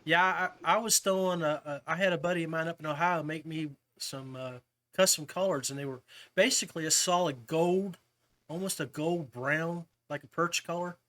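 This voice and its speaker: A middle-aged man with a notably high-pitched voice hosts a casual interview show. He talks in a folksy, chummy way and is openly appreciative of his guests. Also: moderate pace, pushed and bright. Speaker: podcast host.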